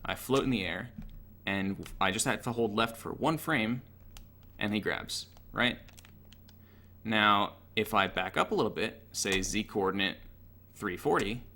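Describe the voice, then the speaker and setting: Bright, low-voiced man explaining game mechanics. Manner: matter-of-fact, analytical. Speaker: gaming tutorial narrator. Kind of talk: informal technical explanation over gameplay.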